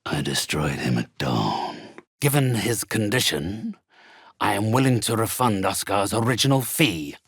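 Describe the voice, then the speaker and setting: An actor playing a haughty, theatrical undead necromancer in a video game, speaking with pompous, condescending, ornate phrasing. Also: male voice, lowish voice, animated, measured pace, creaky, husky, no room sound, clean studio sound, full character voice. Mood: disdainful. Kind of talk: video game character acting.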